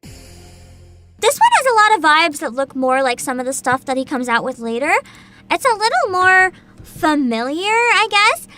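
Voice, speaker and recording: A woman's voice: high voice, pushed and bright. A young woman streaming as a VTuber, chatting casually with her viewers, giggling often and reading game descriptions aloud. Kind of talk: casual livestream chat.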